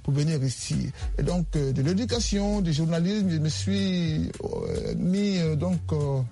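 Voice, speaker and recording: A man from the Ivory Coast, a guest author and teacher, answering interview questions with many pauses and fillers. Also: a little high, huge pitch swings, creaky, mellow, husky, faint music behind.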